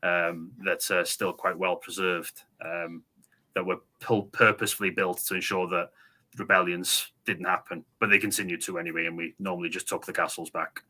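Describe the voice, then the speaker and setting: Deep bright-toned North Welsh man. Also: level tone. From Wales. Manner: explanatory, measured, with fillers. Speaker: podcast guest. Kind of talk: casual podcast conversation.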